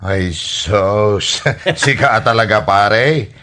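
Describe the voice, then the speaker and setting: A man's voice: lowish voice, drawn-out words, sharp tone, heavily acted. A man playing an amused, teasing buddy who chides his friend in an Ilocano radio comedy drama.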